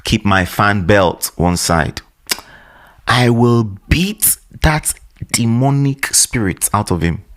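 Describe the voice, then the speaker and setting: A man giving animated, opinionated commentary, full of scolding rhetorical questions. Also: lowish voice, slow.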